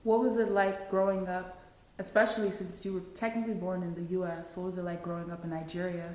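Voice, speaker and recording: A woman's voice: fairly low pitch, flat delivery, quick pace, darkish tone. A woman hosting an interview, asking upbeat, encouraging questions. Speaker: interview host. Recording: echoey room.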